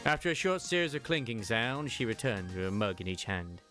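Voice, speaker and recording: A man's voice: mid-range voice, big pitch swings, brisk, music in the background. A young man reading a game story aloud and chatting enthusiastically about it.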